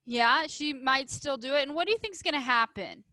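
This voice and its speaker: A woman reads to young pupils in a fairly high, bright voice with a dry, close sound. She is emphatic and instructive, shaping the story expressively as a teacher would. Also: a little fast, no echo. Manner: emphatic, instructive, addressing children. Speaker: elementary school teacher. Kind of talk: expressive storybook read-aloud with questions to pupils.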